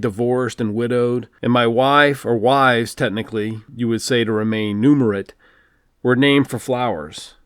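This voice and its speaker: A man narrates a first-person novel in an even, mid-pitched voice. His delivery is dry and wry. The recording is close and dry, with no room sound. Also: no room sound. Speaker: audiobook narrator.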